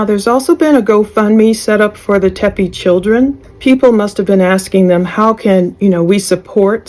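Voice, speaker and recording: A woman delivers true crime news commentary in a mellow, relaxed voice. Her pitch sits in an ordinary middle range, and she talks through updates conversationally, speculating as she goes.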